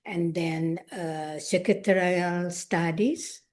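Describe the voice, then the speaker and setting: An older woman from Indonesia, whose first language is Indonesian, speaking haltingly and searching for words in an interview. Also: fairly low pitch, steady tone, a little mellow. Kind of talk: conversational interview.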